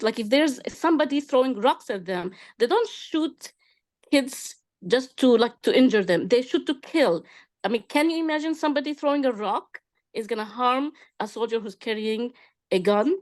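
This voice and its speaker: A middle-aged woman with a Palestinian Arabic accent and a fairly high voice. She talks informally to a group, explaining with emphasis and often repeating herself or checking that listeners follow. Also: expressive, normal speed. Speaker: discussion participant. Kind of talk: informal personal testimony in a group discussion.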